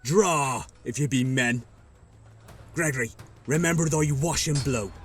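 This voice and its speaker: An actor playing a cocky, bawdy young servant in a Shakespearean audio play, with a boastful swagger. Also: male voice, mid-range voice, a little slow, close to an everyday voice. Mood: provoking.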